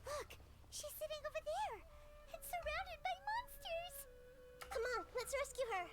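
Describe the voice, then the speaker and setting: A tiny childlike sprite with an extremely high, swooping voice. The companion is eager and earnest in video game dialogue, with music playing underneath. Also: female voice, animated, normal speed. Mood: urgent. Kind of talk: animated video game voice acting.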